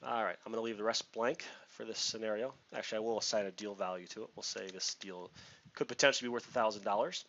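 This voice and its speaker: A man's voice: fairly low pitch, fast talker, a bit bright. A man giving a casual screen-share product walkthrough, explaining informally step by step. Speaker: software demonstrator. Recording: muffled lo-fi mic with background hiss.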